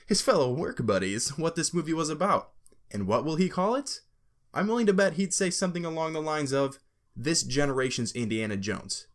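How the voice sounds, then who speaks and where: Male video essayist, slightly high voice, emphatic and informal, sarcastic edge, scripted opinion delivery. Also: fairly bright tone.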